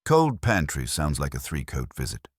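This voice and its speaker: Wisecracking male gumshoe, played by a man: very low, lively, breezy and wry. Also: normal speed, husky, no echo, clean studio sound, heavily acted. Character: cheeky, wisecracking male private investigator. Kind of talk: audiobook character voice.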